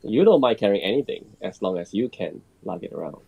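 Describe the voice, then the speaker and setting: A man's voice: on the low side, sing-song, fast talker, vocal fry, husky, dull audio. A man co-hosting a podcast, joining in a casual roundtable conversation. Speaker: podcast co-host.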